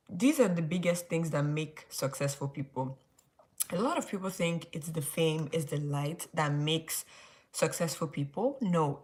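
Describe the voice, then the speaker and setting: A woman's voice: deep voice, slight background noise. A young woman with a Nigerian accent hosting a casual late-night Bible study livestream, rambling and often restarting her sentences with "like". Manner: rambling, restarts, heavy use of "like".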